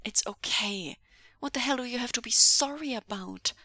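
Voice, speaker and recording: A woman's voice: a little high, a little fast, sharp tone, dry recording, studio quality, pitched above the performer's own voice. A woman voicing a defiant, protective young man in a story, with an urgent, sardonic manner. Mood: urgent concern. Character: defiant, protective young male captive hero.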